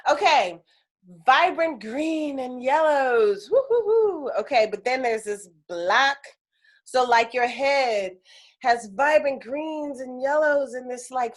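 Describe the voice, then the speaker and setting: Woman, energy healing teacher, higher voice, chatty and animated, filler-heavy, video call. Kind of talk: casual live class on a video call.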